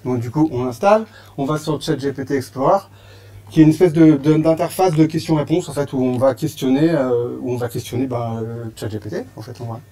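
French guy presenting at a meetup, lots of euh and restarts, mellow kinda dark voice. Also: mid-range voice, fairly quick. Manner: hesitant, many fillers and restarts. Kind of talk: casual conference talk. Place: meetup room with audience.

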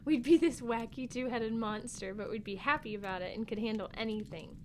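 A woman's voice: on the high side, a little fast, a little mellow, a little hiss or hum, lightly acted. A woman playing a candid, worried young sister in a family audio drama, with a childlike way of talking. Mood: wistful, candid.

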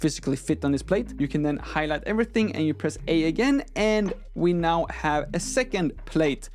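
A young man, a non-native English speaker, hosting a conversational software tutorial with an informal, step-by-step explanatory manner into a close microphone. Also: fairly high pitch, animated, normal speed. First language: not English. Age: young adult. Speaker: tutorial host. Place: small room at a desk, indoors. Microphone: close microphone on an arm.

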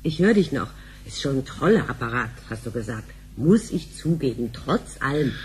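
An actress playing a middle-aged, sharp-tongued wife and mother in a sci-fi radio play, brisk and sardonic. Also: extremely low pitch, very animated, a little hiss or hum. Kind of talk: radio drama acting.

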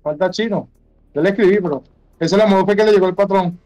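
High male voice, Venezuelan accent, rapid speech, crude gamer slang, dry close sound, music behind. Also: mellow, no echo, highs cut off. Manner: crude, foul-mouthed. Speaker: online game player. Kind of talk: casual gaming voice chat with slang.

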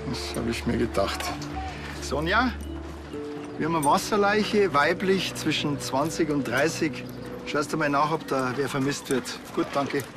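An actor playing a sardonic, no-nonsense small-town policeman, curt and deadpan. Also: fairly high pitch, measured pace, over music. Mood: businesslike.

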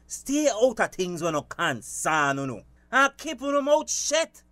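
Shrill, menacing Belizean crime boss. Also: male voice, big pitch swings, unhurried, pushed and bright, a little hiss or hum, full character voice. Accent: Belizean Creole. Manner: barking, domineering. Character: menacing, short-tempered male crime boss. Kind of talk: radio drama acting.

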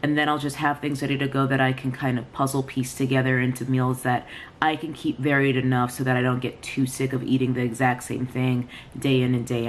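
A young woman talking candidly and casually to camera, like a vlogger, indoors at home with the camera at arm's length. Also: rumbling low. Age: young adult. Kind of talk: casual vlog chat to camera. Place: small home rooms, indoors. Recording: noticeable background room noise.

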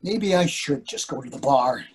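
Dry husband in his sixties male actor for a play reading: evasive, questioning, very lively intonation. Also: medium pitch, moderate pace, a little hiss or hum, close to an everyday voice. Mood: scheming. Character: dry, evasive older husband itching to escape. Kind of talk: readers theatre over video call. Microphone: video call.

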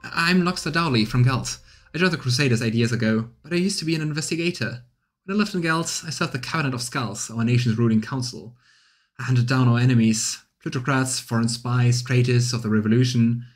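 A man's voice: medium pitch, a little fast, lightly acted. A man voicing a bitter, defeated male assassin from a fantasy game, confessing his past resentfully. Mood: resigned, bitter.

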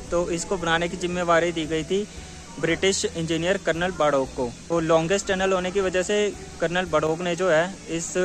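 A man's voice: a little high, a bit bright. A man vlogging a mountain train journey, chatting like a tour guide and leaning on the same filler phrase. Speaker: travel vlogger. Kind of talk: travel vlog narration. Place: aboard a mountain toy train and on station platforms, outdoors.

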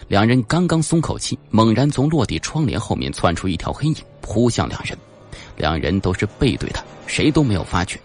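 A man's voice: on the low side, very animated, fairly quick, a little mellow, faint music behind. A man narrating a suspense novel in a scripted, dramatic audiobook style.

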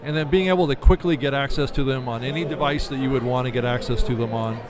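A man's voice: medium pitch, fast, crowd noise. A middle-aged man giving conversational interview answers in an explanatory way, often checking with "right?". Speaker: interview guest, podcast business manager. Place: convention expo booth, indoors. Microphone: studio microphone on a desk stand.

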